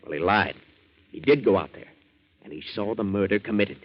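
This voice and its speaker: An actor playing an earnest, plain-spoken young American boat hand in a 1940s radio drama. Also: male voice, lowish voice, fairly quick, natural voice. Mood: earnest explaining. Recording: muffled 1940s radio broadcast.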